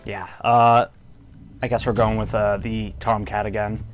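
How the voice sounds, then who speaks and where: A man giving casual, low-key gameplay commentary, musing to himself as he plays. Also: flat delivery, a little slow. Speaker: gamer doing a playthrough. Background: game music.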